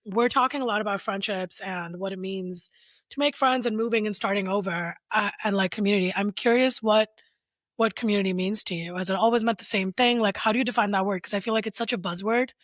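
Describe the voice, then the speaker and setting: A woman in her thirties co-hosts a casual podcast, playful and quick to laugh as she asks questions. Her voice sits at an ordinary middle pitch. The recording is dry and close. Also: no room sound. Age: 30s. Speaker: podcast co-host. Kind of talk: casual chat.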